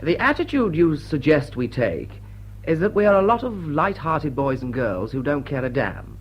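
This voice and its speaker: An actor playing a suave, drily witty English lord with an upper-class British accent, formal and deliberate in a period radio drama. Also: male voice, fairly high pitch, very animated, slightly rough, lo-fi sound. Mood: brisk, dry. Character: suave, sardonic English lord chairing a meeting. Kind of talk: period drawing-room radio drama acting.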